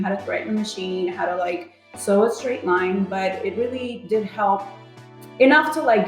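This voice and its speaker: A woman in her thirties chats casually as a contestant, her talk loose and full of "like" and "you know". Her pitch sits in a middle range for a woman. The recording carries a roomy echo. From Los Angeles, USA. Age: 30s. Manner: chatty, leans on "like" and "you know". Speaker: competition contestant.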